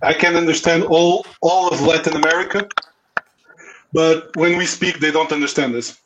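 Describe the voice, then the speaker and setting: A man's voice: fairly high pitch, fairly even intonation, moderate pace, lo-fi sound, heavy background noise. A man from Portugal, a native Portuguese speaker, chatting casually and animatedly in a group.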